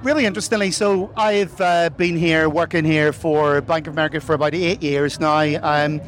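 A man's voice: on the high side, steady tone, normal speed, faint music behind. A middle-aged Irish man answering live interview questions at an outdoor festival, earnest and full of corporate phrasing and fillers. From Ireland. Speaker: corporate sponsor representative.

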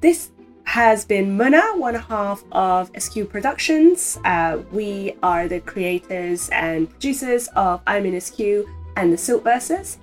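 A woman's voice: mid-range voice, expressive, measured pace, faint music behind. A woman, an audio drama producer, giving an informal promotional sign-off.

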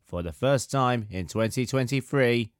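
A man in his thirties, slightly hoarse with a sore throat, hosting a podcast in a measured, articulate, scripted narration style. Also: medium pitch, no echo, clean studio sound. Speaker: podcast host, composer.

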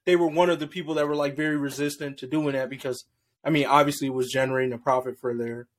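A man co-hosting a podcast in a relaxed, casual chat, speaking loosely and slangily and often tagging "bro" onto his lines. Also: mid-range voice, fast. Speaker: podcast co-host. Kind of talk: casual chat about shows and movies.

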